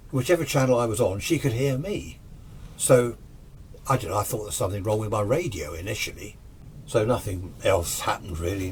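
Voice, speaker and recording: A man's voice: on the low side, slight background noise. A man tells a personal story casually and unscripted, in a rambling, conversational way, acting out remembered exchanges. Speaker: storyteller recounting personal radio anecdotes.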